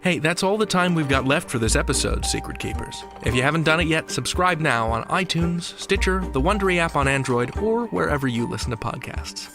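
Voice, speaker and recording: A man hosting a podcast, speaking in clear scripted presenter style. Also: a little high, animated, fairly quick, hardly any pauses, over music. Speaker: podcast host. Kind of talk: podcast interview and scripted sign-off.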